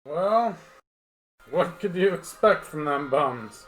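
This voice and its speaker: An actor playing a rueful, grumbling old-time police lieutenant with folksy working-class talk in a radio play. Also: male voice, a little high, animated, slow pace, rough recording.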